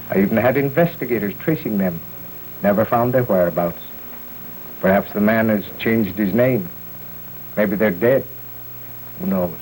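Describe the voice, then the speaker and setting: Male actor as grave old aristocratic father, lowish voice, formal, measured, faintly noisy 1930s film sound. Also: darkish tone, lightly acted. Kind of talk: 1930s film melodrama acting.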